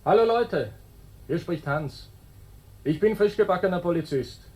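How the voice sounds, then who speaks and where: A man's voice: sits high, expressive, a little slow, darkish tone, slightly rough, heavily acted, rough recording. An actor playing an earnest young novice policeman with a Viennese accent in a satirical radio play. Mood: eager. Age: young adult. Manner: earnest, recites slogans. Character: eager, sloganeering young novice policeman with a secret.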